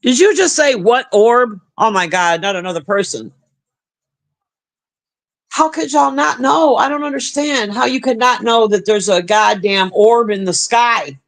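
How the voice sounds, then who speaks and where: A middle-aged woman with a deep, husky smoker's voice, chatting profanely and bluntly with her livestream viewers. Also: a little slow. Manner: profane, blunt, animated banter. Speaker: livestream host reading chat. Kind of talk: casual livestream chat.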